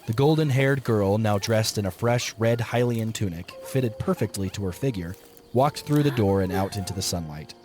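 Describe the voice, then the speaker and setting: A man's voice: lowish voice, moderate pace, a little mellow, a little hiss or hum. A man reading scripted third-person story narration as the narrator of an audio drama.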